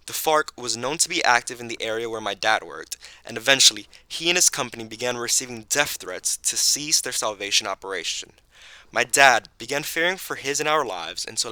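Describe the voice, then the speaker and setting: A young man from Colombia reads his personal story aloud, earnest and measured. His voice is strikingly bright, sitting at an ordinary pitch for a man. The recording is dry, with no room sound. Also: no room sound. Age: 20s. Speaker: narrator of his own migration story. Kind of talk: read personal narration.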